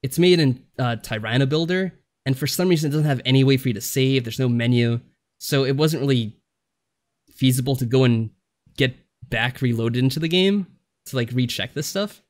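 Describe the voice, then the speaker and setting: Male podcast co-host, average-pitched voice, informal and a little hesitant, recorded on a remote call. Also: normal speed. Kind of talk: casual podcast chat.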